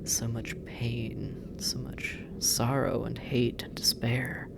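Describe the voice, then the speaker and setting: A performer in a roleplay audio drama voicing a sardonic, teasing demon captor who drawls and murmurs with heavy pauses. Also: medium pitch, fairly even intonation, creaky, heavy background noise. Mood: shaken, demanding. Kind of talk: scripted roleplay audio drama, intimate second-person address.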